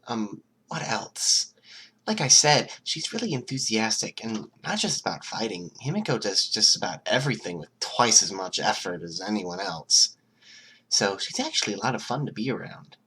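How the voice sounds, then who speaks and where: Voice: medium pitch, very animated, moderate pace, sharp tone, slight background noise, pitched above the performer's own voice, rough recording. A performer voicing an anxious, polite teenage boy, hesitant and earnest, in an amateur audiobook. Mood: eager, earnest.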